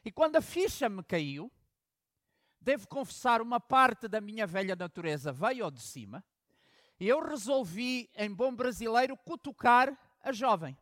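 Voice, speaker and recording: High-voiced pastor preaching with dramatic swings. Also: male voice, very animated, normal speed, no echo, clean studio sound. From Angola. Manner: storytelling preacher with dramatic swings from loud emphasis to hushed asides. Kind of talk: sermon.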